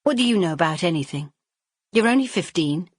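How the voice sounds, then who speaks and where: A woman voicing an impetuous eighteen-year-old Victorian girl who is easily hurt and quick to plead. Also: on the low side, expressive, breathy edge, no room sound, studio quality, pitched above the performer's own voice. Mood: sharp.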